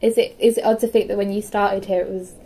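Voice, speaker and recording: A woman's voice: mid-range voice, fairly even intonation, quick pace, warm tone, rough recording. A young woman interviewing an older university employee, asking casual, slightly hesitant questions. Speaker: student interviewer. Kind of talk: oral history interview.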